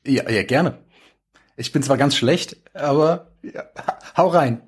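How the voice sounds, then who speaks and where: Slow-talking man, casual and joking. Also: medium pitch. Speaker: guest author. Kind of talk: casual chat.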